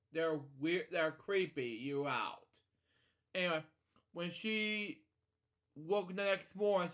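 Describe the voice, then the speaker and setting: A man with a fairly high voice hosts a casual storybook read-along. He wanders off into opinionated asides and speaks straight to his listeners. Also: fairly bright tone. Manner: digressive, opinionated commentary addressed to listeners. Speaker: podcast host.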